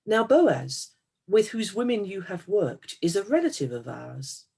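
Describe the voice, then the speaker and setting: A woman reading a Bible story aloud as measured narrative, in short, deliberate phrases. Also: medium pitch, very animated, vocal fry, darkish tone, husky. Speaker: scripture reader.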